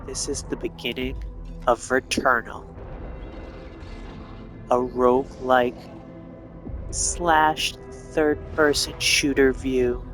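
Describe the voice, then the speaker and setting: A man's voice: medium pitch, level tone, slow, pauses often, fairly bright tone, soft background music. A man giving casual, rambling, explanatory commentary as he plays through a video game. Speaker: gamer narrating a playthrough.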